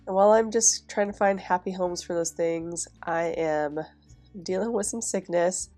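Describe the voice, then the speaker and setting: A woman's voice: lowish voice, normal speed, soft background music. A young woman with a stuffy, nasal voice from a cold, chatting casually in a voice-over as she decorates a planner. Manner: chatty, relaxed, with soft laughs. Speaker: planner vlogger.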